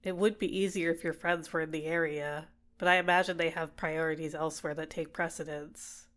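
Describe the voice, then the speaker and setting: Possessive female villain for ASMR roleplay, played by a woman: lowish voice, soft, coaxing, manipulative, tenderly doting. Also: steady tone, brisk. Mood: coolly manipulative. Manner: soft-spoken, teasing, drops into whispers. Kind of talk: ASMR roleplay, intimate personal attention.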